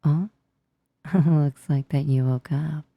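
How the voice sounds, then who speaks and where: Woman acting as a female demon, low breathy voice, dark and creaky, intimate ASMR roleplay, teasing yet tender, close mic. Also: steady tone, dry recording, phone-quality sound, heavily acted. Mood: sinister, teasing. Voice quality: soft, breathy, often whispering. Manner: slow, intimate, close-up delivery. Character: female sleep paralysis demon, teasingly menacing turned awkwardly tender. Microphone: close, dry microphone.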